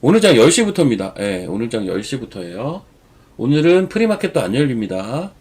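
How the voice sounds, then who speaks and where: Man, husky voice, lively up-and-down delivery, chatty stock commentator with a cold. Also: medium pitch, fairly quick. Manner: chatty, digresses into personal stories. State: has a cold. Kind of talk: casual market commentary on a live stream.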